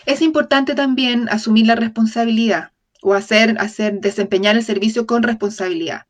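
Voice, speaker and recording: A woman with a somewhat high-pitched voice delivers a lecture for an open talk series. She moves through it in a measured way, often breaking off to correct a word and tossing out tag questions to the audience. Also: steady tone.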